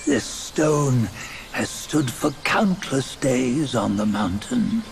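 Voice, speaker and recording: A solemn teller of old legends in a video game. He speaks extremely slowly and with measured weight, over clear ambient noise. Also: mid-range voice.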